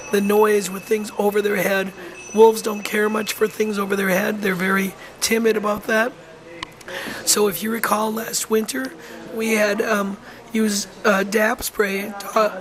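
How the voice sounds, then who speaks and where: A wolf center educator narrates in an informal voice-over, their voice rough and nearly gone. The delivery is halting and full of ums and false starts, with little rise and fall in pitch. A faint hiss sits under the voice. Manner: halting, frequent ums and restarts. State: hoarse, losing voice.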